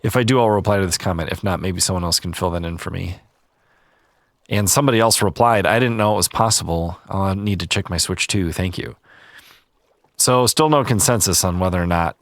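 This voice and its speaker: Calm, low-voiced man reading comments. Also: no room sound, clean studio sound. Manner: calm, easygoing, chatty. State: a little stuffy-nosed. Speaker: gaming channel host.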